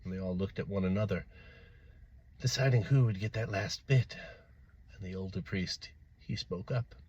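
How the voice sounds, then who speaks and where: A man telling a folktale aloud in a theatrical way, with dramatic pauses and swells. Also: deep voice, darkish tone, breathy edge, dull audio. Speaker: storyteller. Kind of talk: animated oral folktale storytelling.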